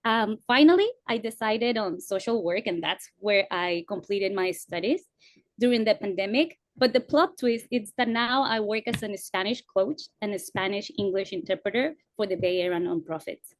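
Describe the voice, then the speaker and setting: A woman tells her own story in an earnest, reflective tone. Her voice is mid-pitched. It sounds dry and close, with no room around it. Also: normal speed, no room sound. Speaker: former student guest. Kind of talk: personal story on a video call.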